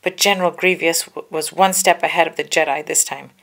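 Woman narrating a kids book aloud, low voice, gravelly fry, careful and dramatic. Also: fairly even intonation, a little fast, fairly bright tone. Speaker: storybook narrator. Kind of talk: children's book read-aloud, scripted narration.

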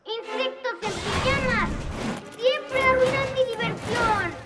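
Cartoon boy-genius villain, very high, sneering, big music behind. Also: takes it slowly. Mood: gloating. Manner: gloating, sneering, shouty. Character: boastful boy genius villain, mad scientist. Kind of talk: cartoon dub acting.

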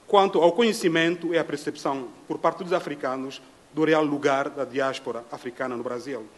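A middle-aged man from Congo, speaking Portuguese as a panel speaker, in a measured, formal way with frequent pauses. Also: a little high, fairly bright tone. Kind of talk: formal reflective talk. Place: dim hall at a conference table.

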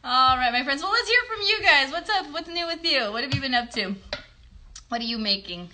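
Animated, high, bright-voiced woman children's host. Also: brisk, a little hiss or hum. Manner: animated, encouraging, child-directed. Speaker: host, puppeteer. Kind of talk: chatty children's livestream. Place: bedroom. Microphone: phone at arm's length.

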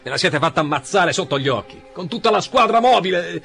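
An actor playing an exasperated police commissioner in a radio drama, agitated and bitingly sarcastic. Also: male voice, high-pitched, animated, brisk, vocal fry, a bit bright, faint music behind, heavily acted. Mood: sarcastic, angry. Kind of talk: Italian radio drama acting.

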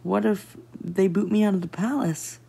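Woman playing an excitable, anxious childlike cartoon gem, raspy. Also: medium pitch, moderate pace, full character voice. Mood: panicked, anxious. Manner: stammering, slangy, flustered. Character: excitable, anxious, childlike female gem playmate in comic dub. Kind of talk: amateur cartoon comic dub.

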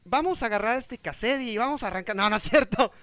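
A man's voice: very high voice, fast, fairly bright tone. A young adult man with a Mexican accent, a playful and dramatic host chatting casually while he plays a video game. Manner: playful, dramatic exclamations and mock voices. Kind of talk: casual gameplay chat. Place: indoor room, seated on a webcam call.

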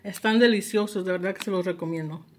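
A woman's voice: medium pitch, fast. A woman giving a casual product haul review, mixing English words into her speech. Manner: mixes English words into Spanish. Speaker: vlogger. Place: indoors at a table at home.

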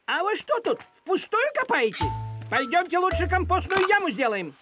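An actor voicing a bossy, fussy talking farm cat in an animated cartoon, with a commanding, nagging, excitable manner. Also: very high voice, normal speed. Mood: indignant. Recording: music behind the voice.

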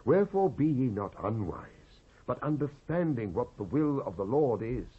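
A British man giving a solemn, emphatic, oratorical dramatised reading of King James scripture, with a husky voice, on an old cassette recording. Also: medium pitch, big pitch swings, moderate pace. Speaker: scripture narrator. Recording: dull lo-fi cassette transfer.

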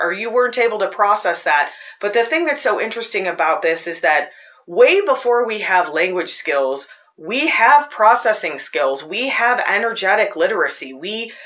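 A woman with a fairly low voice hosting a podcast, telling personal stories in an earnest, searching way. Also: a bit bright. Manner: earnest, personal storytelling with frequent restarts. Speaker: podcast host. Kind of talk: casual spoken reflection. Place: Tucson.

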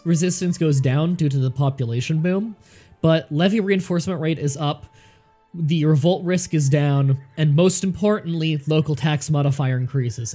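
A man with a nasal voice, a bit more congested than usual, keeps up a running, easygoing commentary on his strategy game. His voice sits a little higher than most men's and he often talks straight to the characters on screen. Soft music plays faintly behind him. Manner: chatty, thinks aloud, talks to game characters. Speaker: let's play commentator. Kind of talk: casual gameplay commentary.

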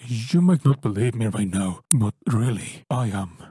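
A man playing a massive, gruff male dog fighter who speaks in a halting, apologetic way. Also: on the low side, lively intonation, mellow, a little hiss or hum.

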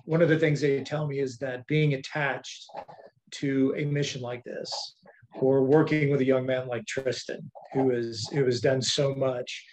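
A man's voice: medium pitch, steady tone, unhurried, a little mellow, a little hiss or hum, rough recording. Polite man moderating a video call. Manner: polite, deferential, says sir often. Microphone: webcam mic on video call.

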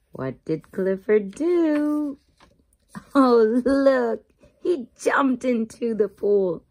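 Female storyteller for young children, higher-pitched and lively, expressive read-aloud with stretched key words. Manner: expressive, drawn-out emphasis for young listeners. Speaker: children's book reader.

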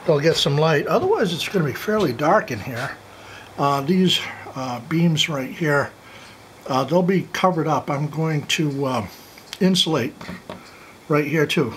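A man's voice: fairly high pitch, fairly bright tone, slight background noise, low-quality recording. Chatty man filming his barn outdoors. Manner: chatty, jokey, talks to his goats. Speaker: homesteading vlogger. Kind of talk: casual walk-around vlog. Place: outdoors in a wooded yard by a half-built barn. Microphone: handheld camera mic.

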